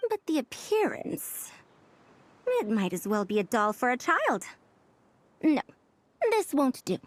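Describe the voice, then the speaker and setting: A woman's voice: sits high, very animated, takes it slowly, exaggerated voice. A woman voicing a proud, fussy, youthful-looking senior scholar who lectures emphatically in an anime-style video game. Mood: critical. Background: background music.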